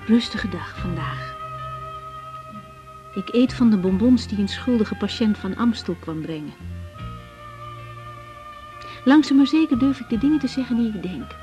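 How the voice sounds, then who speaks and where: An actress playing a young woman doctor in a naturalistic radio drama, frank and reflective, speaking in a measured, intimate, sometimes hesitant way. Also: fairly high pitch, huge pitch swings, dark tone, soft background music. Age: young adult. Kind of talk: naturalistic Dutch radio drama acting with diary monologue.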